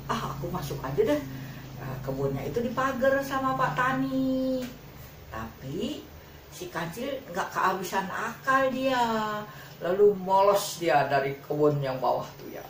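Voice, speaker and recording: A woman's voice: mid-range voice, sing-song, unhurried. Distant, roomy woman storyteller. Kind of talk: informal improvised children's fable storytelling. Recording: roomy, distant mic, faint background noise.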